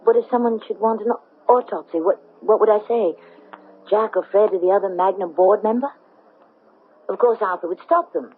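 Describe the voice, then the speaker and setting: A woman's voice: mid-range voice, normal speed, slightly rough, narrow, tinny audio, close to an everyday voice. An actress playing a scheming, calculating woman who narrates in the first person in a 1970s radio drama. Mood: tense, calculating. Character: scheming, calculating murderess confessing her plot. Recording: thin band-limited 1970s radio broadcast.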